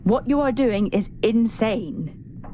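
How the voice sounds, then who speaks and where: A woman acting a wary, determined explorer in a video game, measured and understated, often murmuring. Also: fairly high pitch, mellow, muffled recording, a little hiss or hum. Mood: accusing, tense.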